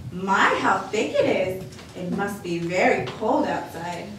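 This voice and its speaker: A woman's voice: mid-range voice, slow, close to an everyday voice. A woman voicing a kindly, cheerful old grandmother who talks with fond teasing, in an echoey room away from the mic. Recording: echoey room, mic at a distance, faint noise.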